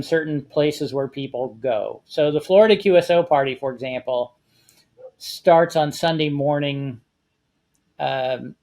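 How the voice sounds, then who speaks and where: A man talking casually as a panelist, explaining things in a conversational way with practical advice. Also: on the high side. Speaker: panelist on a ham radio discussion show.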